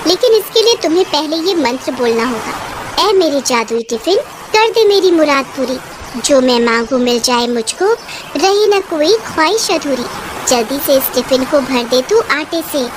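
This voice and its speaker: A woman plays a magical female wind spirit from a cartoon fairy tale. She is calm and kindly, speaking high and measured as if giving instructions. Faint noise sits behind the voice. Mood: calm, instructing.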